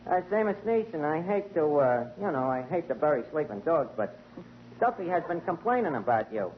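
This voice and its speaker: Fast-talking high-voiced guy on a 1940s radio comedy, wisecracks a lot, muffled old broadcast sound. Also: sing-song. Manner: brash wisecracking, malapropisms. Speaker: tavern manager and show host. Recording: dull old radio broadcast with faint hiss.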